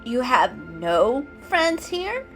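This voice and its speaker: A woman's voice: on the high side, sing-song, very slow, vocal fry, pitched above the performer's own voice, exaggerated voice. A woman voicing a friendly, frank young male robin with a chirpy, emphatic delivery in a dramatic storybook reading. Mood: curious. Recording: music behind the reading.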